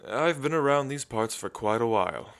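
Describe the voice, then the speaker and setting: A man playing an elegant, centuries-old vampire in an ASMR roleplay, suave and theatrical, with musing pauses. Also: mid-range voice, animated, vocal fry. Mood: amused, musing. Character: centuries-old elegant male vampire, languid, teasing, world-weary.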